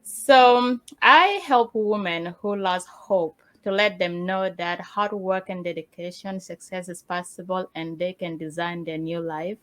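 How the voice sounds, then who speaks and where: A woman's voice: lowish voice, measured pace, hardly any pauses, a bit bright. A woman from Uganda, a motivational speaker, introducing herself in a preacherly, affirming way. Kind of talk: personal introduction speech.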